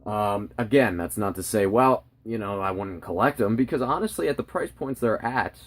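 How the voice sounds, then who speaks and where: Low-voiced man talking collectibles. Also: animated, normal speed. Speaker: host of a trading card collecting channel. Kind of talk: casual opinion commentary to viewers.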